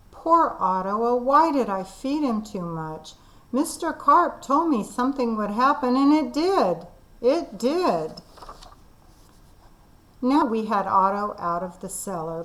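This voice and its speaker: Slow expressive woman reading aloud. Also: a little high, poor audio. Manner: expressive, deliberate. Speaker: kindergarten teacher. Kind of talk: storybook read-aloud.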